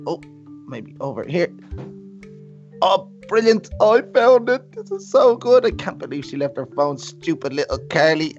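Someone doing a sneaky irish troublemaker gal, singsongy and coaxing, muffled recording with music. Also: mid-range voice. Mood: scheming. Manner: sing-song, coaxing, gleeful. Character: sly scheming young woman troublemaker.

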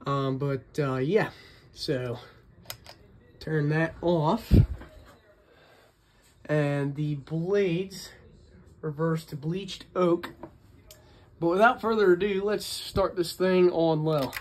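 A man's voice: fairly high pitch, extremely slow, long pauses, slight background noise. A man talking casually and unscripted as a hobbyist vlogger, in a chatty, rambling, enthusiastic way. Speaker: vlogger, ceiling fan collector. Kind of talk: casual unscripted show-and-tell video narration.

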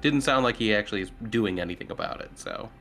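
A man's voice: on the low side, animated, fast talker, a bit bright, soft background music. A man playing a tabletop game, talking casually with the other players.

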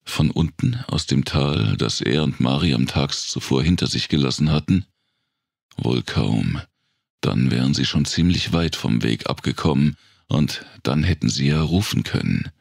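A man narrating a dark thriller audiobook in a gravelly, raspy voice, with measured, dramatic storytelling. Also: rumbling low, animated, no echo, clean studio sound.

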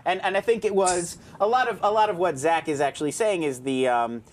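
A man in his thirties hosting a casual tech news chat in a studio, animated and gesturing, often restarting his sentences, on a lapel mic. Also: sits high, fairly quick, a little hiss or hum. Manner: animated, hands moving, many restarts. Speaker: host. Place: studio with round table, dark backdrop.

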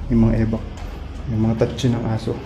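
A man in his thirties hosting a walking vlog through an abandoned building, talking chattily to a handheld camera and dropping to a hush in dark rooms. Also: lowish voice, brisk, noisy background. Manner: chatty, excitable, trails off into hushed remarks in dark rooms. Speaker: vlog host exploring abandoned places. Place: abandoned concrete building, indoors and outdoors. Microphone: handheld action camera at arm's length.

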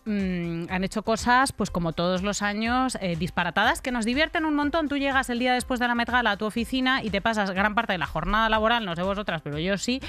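A woman's voice: medium pitch, fast, hardly any pauses, fairly bright tone, clean tone, soft background music. A middle-aged woman with a Castilian Spanish accent co-hosting a podcast, giving casual humorous commentary in an irreverent, emphatic, colloquial way on a close studio mic. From Spain. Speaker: podcast co-host. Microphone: close studio microphone.

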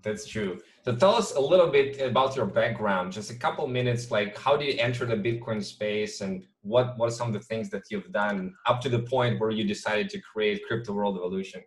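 Eager young host, Hebrew speaker. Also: male voice, on the low side, brisk, hardly any pauses. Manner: eager, lots of fillers, hyped greetings. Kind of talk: casual interview over video call. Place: small office with chair.

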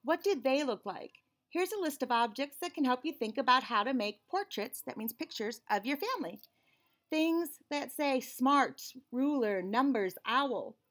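Expressive higher-voiced American woman reading aloud. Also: moderate pace. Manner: expressive, animated storytelling for young children. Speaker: read-aloud host and teacher. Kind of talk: children's picture book read-aloud with asides. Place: small indoor room with shelves and cabinets.

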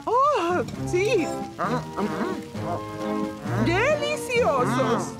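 A woman's voice: very high-pitched, wildly swooping pitch, extremely slow, loud music behind the voice, heavily acted. A woman with a Latin American Spanish accent voicing a chatty, food-loving middle-aged woman who gushes. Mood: gushing. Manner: gushing, exclamatory.

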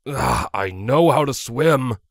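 Storybook pony guard captain, read by a man: wry, good-natured, mid-pitched. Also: lively intonation, takes it slowly, no room sound, studio quality. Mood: defensive.

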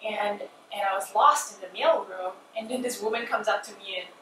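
A woman's voice: medium pitch, fairly quick, sharp tone. A woman from Malaysia in her thirties, chatting casually as an interview guest on a sofa with lots of "like" and "you know". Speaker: guest, skincare founder. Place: living room, seated on a sofa. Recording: echoey room from a distance, noticeable room noise.

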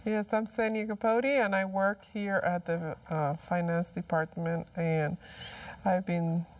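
A woman's voice: fairly low pitch, steady tone, unhurried, far from the mic, slight background noise. A middle-aged woman from El Salvador, a studio guest, speaking hesitantly and repeating words. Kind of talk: casual TV panel chat. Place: TV studio set, seated.

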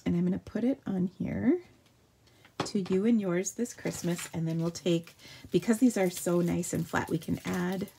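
A woman's voice: on the low side, normal speed, gravelly, warm tone, a little hiss or hum. Chatty woman demoing card crafts live. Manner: chatty and upbeat, answering viewer questions while working. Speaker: card-making demonstrator. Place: home craft table.

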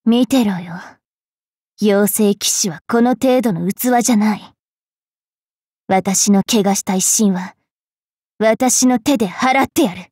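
A woman's voice: mid-range voice, slow pace, long pauses, a little mellow, no echo, studio quality. A woman voicing a haughty, teasing young female fairy knight in an anime mobile game. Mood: haughty, solemn. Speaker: voice actress.

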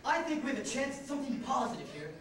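A young American man acting an earnest, wounded, eccentric groom in a high school stage comedy, emphatic and sometimes halting. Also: very animated, over a noisy crowd. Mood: pleading indignation. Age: young adult. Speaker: amateur stage actor. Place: indoor school theatre stage. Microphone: far camera microphone. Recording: distant, echoey auditorium videotape with noisy audience.

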